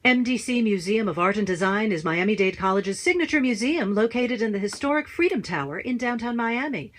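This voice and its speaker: A female announcer reading a scripted radio promo in a smooth, even way. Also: on the high side, a little fast.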